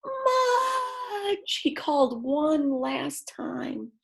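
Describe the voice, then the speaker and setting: A woman reading a picture book aloud to young children, expressively and simply, with chatty asides to her listeners. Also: medium pitch. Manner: slow, simple phrasing with dramatic emphasis and chatty asides. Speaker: children's read-aloud storyteller.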